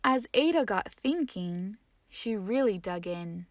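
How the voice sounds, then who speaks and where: A woman reading a rhyming children's picture book aloud, expressive and rhythmic. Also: medium pitch, slow, dry recording. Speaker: read-aloud narrator.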